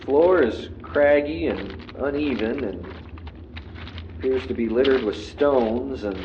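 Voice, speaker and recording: A man performs a frightened male podcaster alone in the wilderness for a found-tape audio drama. He narrates his surroundings in halting, paranoid fragments, in a mid-pitched voice over clearly audible background noise. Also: lo-fi sound, close to an everyday voice. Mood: uneasy curiosity. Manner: halting self-narration, swearing.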